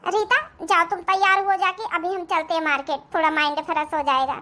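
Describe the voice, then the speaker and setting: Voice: very high-pitched, flat delivery, brisk, sharp tone. A performer voicing a kind, confident young city-bred daughter-in-law in a family cartoon, reassuring and taking charge. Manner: reassuring, take-charge, speaks standard Hindi. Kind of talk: Hindi family cartoon dialogue.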